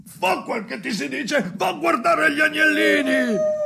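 Harsh father shouting, strained and high. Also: very animated, measured pace, gravelly, very noisy, full character voice. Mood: impatient, commanding. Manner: high, forceful shouting, imperious. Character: harsh angry father, shouting contempt and orders. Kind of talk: avant-garde radio drama.

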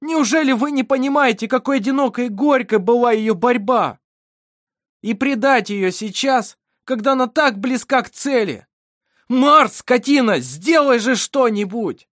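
A passionate young storybook man, played by the narrator, loyal and anguished. He beseeches in a high, loud, almost sobbing voice, recorded very dry. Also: lively intonation, unhurried, no echo. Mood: tearful outrage.

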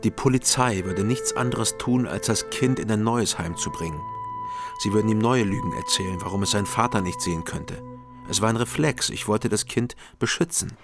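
A man acting a guarded investigator in a radio crime drama. His voice sits low, and he speaks in a sober, understated, matter-of-fact manner. Music plays clearly behind him. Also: moderate pace, lightly acted. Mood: sober, reflective. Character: guarded, sober East German male investigator and narrator. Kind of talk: radio crime drama, first-person narration and dialogue.

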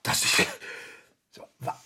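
An actor playing a proud, hot-tempered Baroque composer in a radio drama, speaking formally with indignant emphasis. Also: male voice, slow, bright, forceful tone. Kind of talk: German radio drama acting in period speech.

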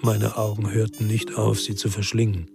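Low-voiced weary noir narrator, gravelly. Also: male voice, little pitch movement, moderate pace, over loud music. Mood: brooding. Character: world-weary ex-policeman narrating a noir crime story. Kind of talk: literary crime radio drama narration.

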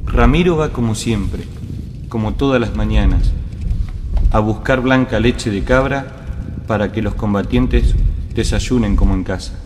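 A man's voice: lowish voice, measured pace, noisy background, natural voice. A man reciting poetic narration for a radio drama in a lyrical, cadenced way. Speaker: verse narrator. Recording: long hall reverb.

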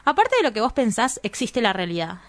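A woman's voice: a little high, very animated, a little fast, fairly bright tone, dry recording. A woman with an Argentine accent playing a prim, sensible young woman in a radio drama, earnest and questioning. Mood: insistent, reproving. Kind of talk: scripted radio drama acting.

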